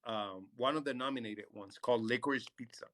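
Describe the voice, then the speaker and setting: A man's voice: medium pitch, fairly quick, a bit bright. A young man from Puerto Rico in his twenties, co-hosting a casual live stream podcast in a playful, teasing way. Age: 20s. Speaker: podcast co-host.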